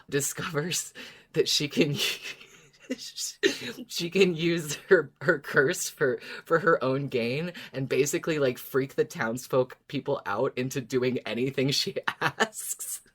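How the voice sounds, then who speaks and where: A woman's voice: very deep voice, animated, slow, a bit bright, breathy edge. A woman co-hosting a podcast, retelling stories casually in a conversational, giggly way. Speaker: podcast co-host.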